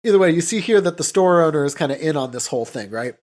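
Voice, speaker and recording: A man's voice: fairly high pitch, animated, quick pace, darkish tone. Casual, sweary man recapping an old film. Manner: informal, sweary, paraphrases characters' lines offhand. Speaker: podcast co-host.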